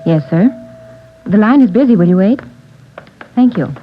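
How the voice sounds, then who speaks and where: A woman's voice: mid-range voice, animated, moderate pace, over music, lightly acted. Woman playing a hospital receptionist, polite, clipped, old radio recording, muffled. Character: brisk female hospital switchboard receptionist. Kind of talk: 1940s radio acting. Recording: dull lo-fi 1940s radio transcription.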